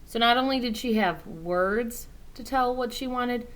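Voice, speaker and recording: A woman reading a picture book aloud to children, expressively, pausing to explain things in simple asides. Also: a little high. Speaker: read-aloud storyteller.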